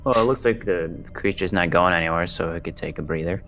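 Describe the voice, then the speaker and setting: A man's voice: sits low, quick pace, a bit bright, faint music behind. A man playing a tabletop roleplaying game, chatting casually about his moves with the group.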